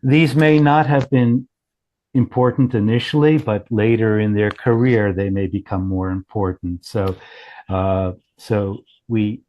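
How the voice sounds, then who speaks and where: A man explaining a product demo as a company founder, in a halting, self-correcting way with many broken starts. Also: lowish voice, fairly even intonation, highs cut off. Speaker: company founder and chief executive.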